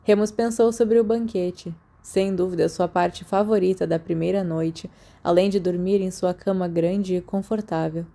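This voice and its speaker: A woman's voice: fairly low pitch, steady tone, normal speed, gravelly, hardly any pauses, mellow. A Brazilian woman reading a story aloud as scripted audiobook narration. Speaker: podfic narrator.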